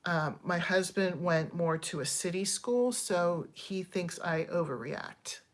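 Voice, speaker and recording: Chatty woman in her fifties, lowish voice. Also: slow. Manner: chatty and digressive, thanking viewers mid-story; candid, frustrated complaints with frequent ums. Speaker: channel host. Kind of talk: casual livestream chat.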